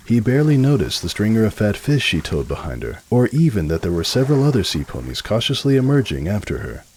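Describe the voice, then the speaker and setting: A man narrating a scripted story as a dramatic reading, with a deep, husky voice and expressive delivery. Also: a little hiss or hum, poor audio.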